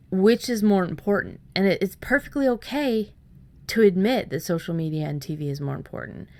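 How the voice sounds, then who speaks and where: Chatty woman podcast host, lowish voice. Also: darkish tone. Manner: chatty, many restarts and repeated words. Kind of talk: casual podcast chat.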